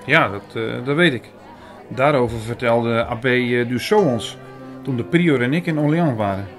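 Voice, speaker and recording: A man's voice: mid-range voice, moderate pace, natural voice. An actor playing a sceptical, irritated man who narrates his own story in an audio drama. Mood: attentive. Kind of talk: first-person audio drama narration and dialogue. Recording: music and crowd murmur behind.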